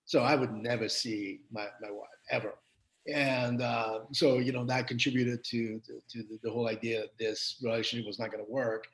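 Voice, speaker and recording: A man from Quebec with a slight Quebec French accent, telling stories as a guest in a casual interview, lively and full of restarts. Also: mid-range voice, moderate pace, rough recording. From Quebec, Canada. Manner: animated storytelling, frequent restarts.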